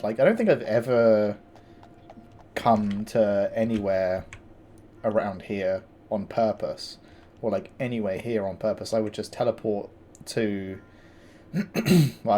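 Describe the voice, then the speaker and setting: A man with a gravelly morning voice, casually commenting on his game as he plays, thinking aloud with fillers and restarts. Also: on the low side, normal speed, a little mellow, a little hiss or hum. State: tired morning voice. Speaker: gameplay commentator. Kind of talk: casual let's-play commentary.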